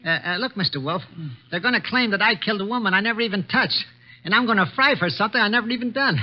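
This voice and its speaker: A man's voice: high-pitched, quick pace, natural voice. An American actor playing a nervous, very tall small-time sneak thief in 1940s radio mystery style, stammering in uneducated slang as he pleads innocence. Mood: panicky. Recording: dull old 1940s radio recording.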